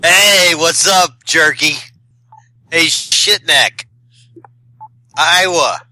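Guy yelling insults at a prank call, super bright sharp tone, talks slow. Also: on the high side. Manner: insulting, rowdy heckling.

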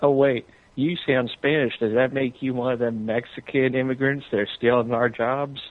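A man's voice: mid-range voice, fairly even intonation, normal speed. Tinny phone-line man prank calling. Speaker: prank caller. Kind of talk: casual, provocative prank-call questioning.

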